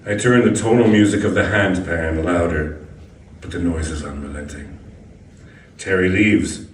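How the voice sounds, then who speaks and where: Low-voiced man reading, echoey and distant. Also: normal speed. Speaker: reader of a short story. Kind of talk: scripted narration, live literary reading. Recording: echoey room, distant mic, background noise.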